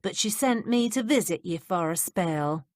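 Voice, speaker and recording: A woman voicing a bold, forthright lady who speaks confidently and gently. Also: on the low side, expressive, no echo, clean studio sound, natural voice. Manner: brisk, confident, gentle with the old.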